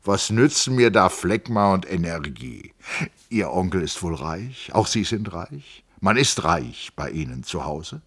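A man's voice: deep voice, very animated, measured pace, fairly bright tone, husky, full character voice. A man doing an ironic, eloquent older man of letters. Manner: polished, mildly mocking, lecturing.

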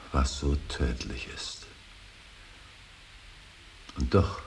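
An actor playing an older, grieving father in a radio drama, brooding and solemn, with halting, weighty declamation that often sinks into breathy whispers. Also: rumbling low, full character voice. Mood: broken, brooding. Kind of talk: German radio drama acting, solemn monologue. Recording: hissy, faintly noisy radio recording.

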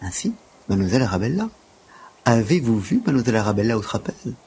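A man's voice: lowish voice, sing-song, fast, darkish tone, breathy edge, exaggerated voice. A man playing a humble, deferential gymnastics teacher in an audio drama, speaking in earnest, wordy, formal phrases.

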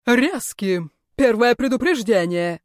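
A woman's voice: on the high side, lively intonation, slow, no room sound, clean studio sound. Woman putting on a drawling, imperious male rector. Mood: angry commanding. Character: sneering, contemptuous red-moustached male magic-school rector.

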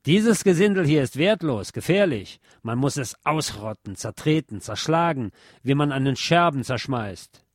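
A man reading a literary text aloud with expressive, emphatic delivery. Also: medium pitch, normal speed, no room sound, clean studio sound.

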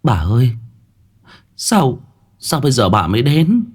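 A man voicing a gentle, sincere village wife in a story, reassuring and heartfelt. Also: sits low, lively intonation, moderate pace. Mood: overcome with emotion. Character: gentle, sincere married village woman revealing family history.

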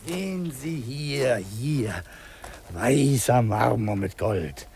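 An actor playing a chatty, nosy old cemetery gardener in a 1950s German radio drama, husky and rambling. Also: male voice, mid-range voice, lively intonation, drawn-out words, darkish tone, slight background noise. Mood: eager, confiding. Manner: garrulous, teasing, rambling.

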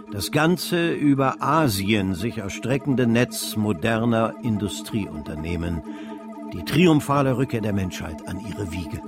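A man acting as the narrator of a radio play, reading literary prose as a storyteller. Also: mid-range voice. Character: male storyteller narrating a grand financial saga.

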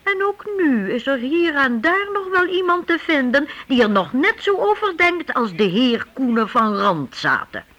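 An expressive, reflective narrator telling a scripted historical radio drama with emphatic phrasing. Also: high voice, a little slow, hardly any pauses, exaggerated voice.

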